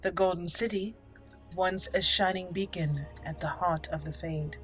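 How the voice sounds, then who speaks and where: A woman hosting a roleplay game playthrough, speaking in a deliberate storyteller manner. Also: lowish voice, fairly even intonation. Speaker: gaming channel host. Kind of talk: roleplay game commentary and dramatic lore reading. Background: faint game music.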